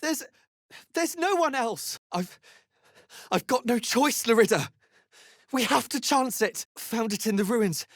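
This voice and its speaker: A woman playing a desperate, grieving deep gnome in a video game, earnest and pleading. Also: lively intonation, measured pace, pauses often, no echo, clean studio sound.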